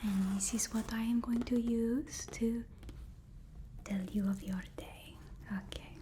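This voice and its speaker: A woman playing a devoted, nervous supervillain's personal assistant in an ASMR roleplay, whispering breathily with a deferential, hesitant, soothing manner. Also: a little high.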